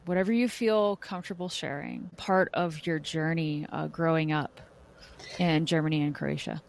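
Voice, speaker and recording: A woman's voice: low voice, steady tone, normal speed, gravelly. A woman hosting an interview podcast, with scripted introductions and questions for a guest. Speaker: podcast host.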